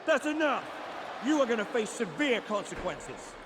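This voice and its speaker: A man's voice: very high-pitched, sing-song, moderate pace. A man playing a formal, authoritative general manager addressing a wrestling show in a video game, with crowd noise. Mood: outraged.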